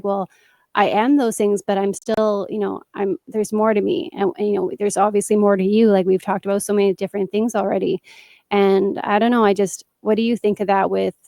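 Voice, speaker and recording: Woman, podcast host, mid pitch, dry close sound, rambly, lots of "you know". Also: darkish tone, dry recording. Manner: rambling questions full of fillers. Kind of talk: casual interview chat.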